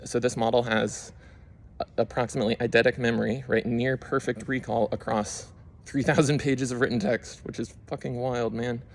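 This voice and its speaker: A man's voice: mid-range voice, steady tone, moderate pace, creaky. A young man casually walking through his screen, rambling with lots of fillers as he explains what he did. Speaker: YouTube creator. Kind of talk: casual screen-share walkthrough.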